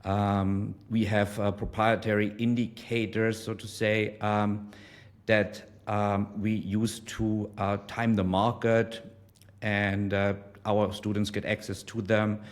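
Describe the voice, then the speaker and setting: A man of forty from Germany, explaining his trading as an interview guest, measured and full of ums. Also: fairly low pitch, flat delivery. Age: 40s. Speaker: guest trader. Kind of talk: casual interview.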